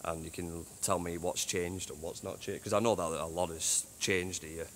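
A man who cleans a train station, giving a casual walking tour on his phone, chatty and rambling, with a deep voice. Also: fairly even intonation, normal speed, a bit bright, slight background noise. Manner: chatty, rambling, says "you know what I mean". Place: old train station toilets and platforms at night. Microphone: handheld phone.

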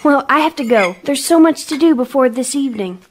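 A woman playing an excited, nervous young bride in a radio drama. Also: sits high, brisk. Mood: flustered.

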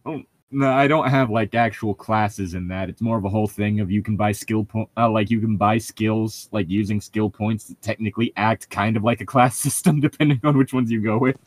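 A man's voice: fairly low pitch, fairly quick, few pauses, no room sound. A man casually joking with friends and reading a novel aloud as a podcast host on a voice call. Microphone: close mic on an online voice call.

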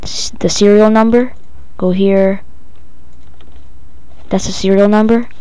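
A female-sounding speaker giving a casual, halting, improvised step-by-step software tutorial. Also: medium pitch, fairly even intonation, clean tone, no echo. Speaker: tutorial presenter. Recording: dull lo-fi home recording.

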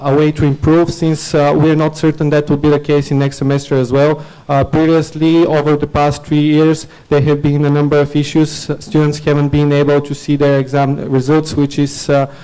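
Young Bulgarian man, halting podium speech. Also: on the high side, darkish tone, clean tone, rough recording. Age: young adult. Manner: earnest, many filler "uh"s and restarts. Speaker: student election candidate. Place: dim student union venue, indoors. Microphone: podium mic on stand.

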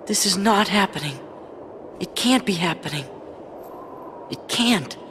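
A man's voice: high voice, lively intonation, unhurried, noisy background. An actor, a man, playing an earnest, brash teenage boy hero in a video game. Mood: distraught. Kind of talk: video game cutscene acting.

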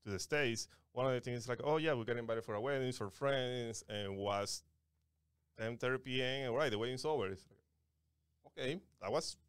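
A man from Venezuela with a Venezuelan Spanish accent, a wedding content creator guesting on a podcast, speaking halting English with restarts on a studio mic. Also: mid-range voice, clear, resonant tone, dry recording. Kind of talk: casual podcast interview. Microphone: studio mic on boom arm, headphones.

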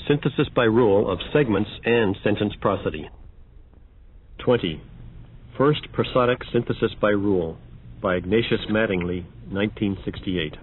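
Male narrator, measured and factual, lowish pitch, narrow-band archival sound. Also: a little hiss or hum. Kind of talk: scripted announcements of numbered demo examples. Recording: dull band-limited old audio.

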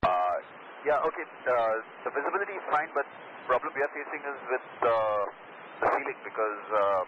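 A man speaking as an airline pilot over aviation radio, in halting, hesitant phrases. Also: mid-range voice, lively intonation. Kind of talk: radio exchange with air traffic control. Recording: muffled lo-fi cockpit radio, loud static.

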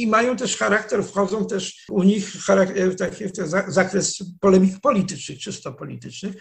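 An older man with a strongly gravelly, somewhat high-pitched voice explains history like a university lecturer. He is measured and digressive, often restarting phrases and correcting himself mid-sentence. He speaks through a webcam call from a dark, wood-panelled study. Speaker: professor, interview guest. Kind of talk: explanatory academic talk. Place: study with dark wooden shelves, indoors.

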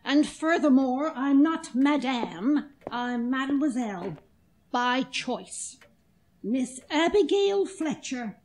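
A woman playing a brisk, outspoken American single woman with an American accent, in plain-spoken 1940s radio acting. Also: sits high, animated, a little mellow, slight background noise. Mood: indignant. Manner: plain-spoken, feisty, indignant.

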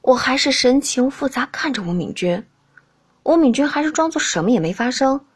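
A woman's voice: sits high, expressive, normal speed, breathy edge. A woman reading scripted first-person fiction as an audiobook narrator.

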